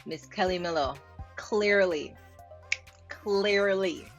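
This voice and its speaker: A woman's voice: mid-range voice, slow, dull audio, faint music behind. A Jamaican woman linguist explaining pronunciation. She repeats words aloud to show how they sound and laughs lightly. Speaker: linguist host. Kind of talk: explaining pronunciation while reacting to clips.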